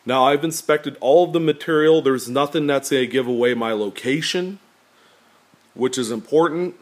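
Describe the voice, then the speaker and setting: A man with a deep, slightly nasal voice talking casually to camera about his coin collection, rambling with many fillers. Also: moderate pace. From California. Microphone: camera pointed at table, speaker off screen.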